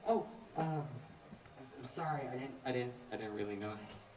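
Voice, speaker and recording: A man's voice: medium pitch, normal speed, close to an everyday voice. A young man playing an awkward, nervous youth in a student stage play, with a hesitant, stammering delivery. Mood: apologetic. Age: young adult. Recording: echoey, noisy room from far off.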